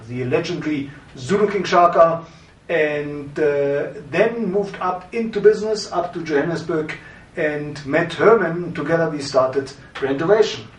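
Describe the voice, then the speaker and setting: A middle-aged man from Germany with a German accent, presenting a course introduction in a measured, explanatory way. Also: a little high. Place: studio with green-screen backdrop. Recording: roomy, from a distance.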